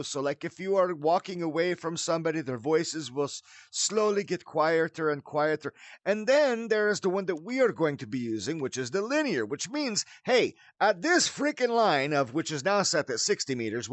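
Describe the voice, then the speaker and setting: High-pitched, animated man hosting a tutorial. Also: no room sound. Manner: theatrical, mock-formal wording mixed with folksy slang. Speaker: tutorial host. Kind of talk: casual spoken game tutorial with showy greeting.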